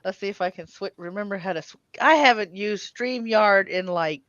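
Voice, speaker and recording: Female livestream host, medium pitch, casual playful self-talk, dry lo-fi sound. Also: normal speed, a bit bright, dry recording. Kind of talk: casual chat. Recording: dull, slightly lo-fi audio.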